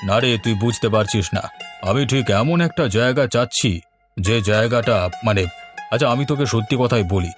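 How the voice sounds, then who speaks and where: A man's voice: medium pitch, a little fast, lightly acted. Man as a covetous, bossy village landlord. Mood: calculating, commanding. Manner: bossy, calculating. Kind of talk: cartoon voice acting. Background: background music.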